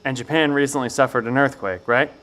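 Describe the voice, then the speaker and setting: A man's voice: mid-range voice, fairly even intonation, a little fast, fairly bright tone, close to an everyday voice. Casting: male actor for earnest professor and survivor leader, mid-range voice, precise and inquisitive, audio drama. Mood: curious, probing. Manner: probing, precise questioning.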